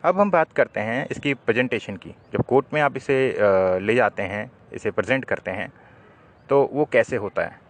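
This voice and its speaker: Male legal presenter, mid-pitched voice, didactic explanatory delivery. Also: lively intonation, moderate pace. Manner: didactic, poses questions then answers them. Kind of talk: explanatory legal talk to viewers.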